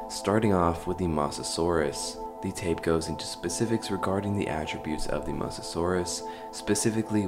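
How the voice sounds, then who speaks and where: A man hosting a horror explainer video, giving scripted commentary with casual asides to his viewers. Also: low-pitched. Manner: addresses viewers informally. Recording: music behind the voice.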